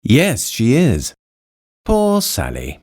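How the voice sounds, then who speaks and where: A man reading lines of English course dialogues in an expressive, sing-song way. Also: medium pitch, very slow. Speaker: dialogue reader in a language course. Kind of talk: slow, clearly read textbook dialogue.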